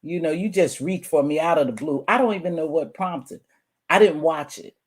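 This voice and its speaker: Hoarse, sassy woman ranting to viewers. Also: moderate pace. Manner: scolding, sassy, swings between loud outbursts and low mutters. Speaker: online streamer. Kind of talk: heated casual rant to viewers.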